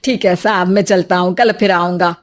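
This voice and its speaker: A woman's voice: lowish voice, fairly even intonation, moderate pace, natural voice. A woman voicing a humble, anxious rickshaw-puller father in a cartoon story, deferential and pleading.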